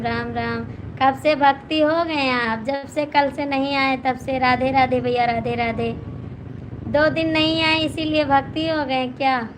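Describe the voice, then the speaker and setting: A high-pitched woman with an Awadhi accent and a hoarse voice greets her viewers one after another. She speaks slowly and stays on an even, level pitch as she teases them. Clear background noise and passing vehicles are heard behind her phone mic. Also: poor audio. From Gonda district, Uttar Pradesh, India. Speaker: folk singer hosting a live stream. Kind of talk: casual live-stream chat, reading out comments.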